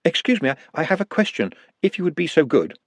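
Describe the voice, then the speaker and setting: A timid but highly articulate little man, as a male narrator puts him on, formal and precise with a posh air. His husky voice swings widely in pitch, recorded dry and close. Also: big pitch swings, fast talker, no echo.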